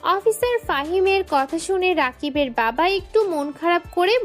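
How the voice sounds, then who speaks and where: A woman's voice: very high-pitched, expressive, a little slow, faint music behind. A woman reading scripted story narration as the narrator of an audio drama.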